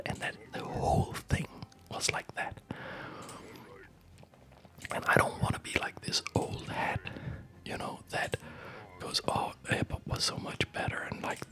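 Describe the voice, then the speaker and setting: A man doing a whispered ASMR gaming commentary, rambling and often stopping to restart his thoughts, close to the microphone. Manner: rambling, halting, self-interrupting. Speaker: ASMR gaming video host.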